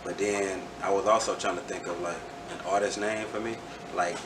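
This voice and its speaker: Deep-voiced laid-back man. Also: fairly even intonation, moderate pace, roomy sound, recorded from a distance, noisy background. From Houston, Texas. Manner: laid-back, rambling with frequent restarts and fillers. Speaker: rapper guest. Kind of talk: casual interview chat.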